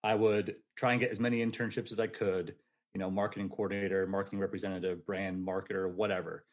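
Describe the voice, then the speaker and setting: Man, 40, podcast guest, lively and casual, quick to laugh. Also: low voice. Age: 40s. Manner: energetic, laughs easily, casual. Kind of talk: casual storytelling interview.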